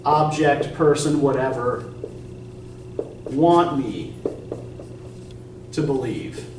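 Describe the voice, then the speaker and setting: A man's voice: medium pitch, very slow, distant mic, background noise. A young man from Eastern Pennsylvania teaching a college writing class, talking casually, rambling and self-deprecating, often checking in with "right?". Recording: echoey classroom recorded from afar.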